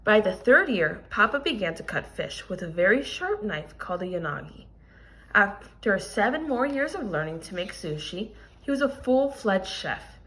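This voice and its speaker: Animated woman reading a storybook aloud. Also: medium pitch, moderate pace, low-quality recording. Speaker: teacher reading a picture book aloud. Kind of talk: expressive children's read-aloud with teaching asides.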